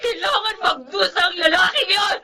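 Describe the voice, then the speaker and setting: A woman's voice: very high voice, normal speed, sharp tone, heavily acted. A woman playing an anguished middle-aged mother in a Filipino radio drama, emotional and pleading. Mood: grieving outrage.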